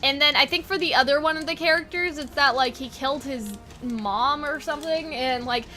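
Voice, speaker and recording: A woman with a high, bright, forward voice talks casually as an online host. She rambles informally through her ideas without a script, like someone brainstorming out loud with friends. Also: a little fast. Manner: rambling, informal, occasionally sweary. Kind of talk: casual unscripted brainstorming chat.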